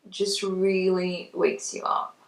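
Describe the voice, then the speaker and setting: A woman's voice: on the low side, level tone, slow, vocal fry. A woman giving a casual makeup tutorial in a chatty, conversational way. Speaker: makeup vlogger. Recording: roomy echo, distant mic.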